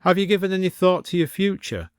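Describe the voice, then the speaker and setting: A man's voice: high-pitched, lively intonation, fast talker, smooth, dry recording, clean studio sound, pitched above the performer's own voice. A man voicing a wry, easygoing male antique shop owner with a blunt manner, in a story. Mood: wry, gruff.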